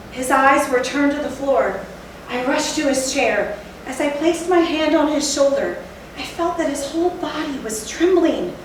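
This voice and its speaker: A woman's voice: high voice, a bit bright. A woman playing a shaken storyteller, recounting horror in a theatrical, emphatic and suspenseful stage reading. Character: shaken gothic storyteller recounting a horror, later giddy victim. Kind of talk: dramatic readers' theatre narration. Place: echoey indoor theatre, live stage reading. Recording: very echoey hall, distant mic, faint noise.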